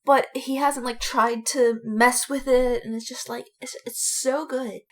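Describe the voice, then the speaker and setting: A woman with a fairly high voice chats casually as a podcast co-host. She gushes like a fan among friends and stretches words out for emphasis. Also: fairly even intonation, measured pace.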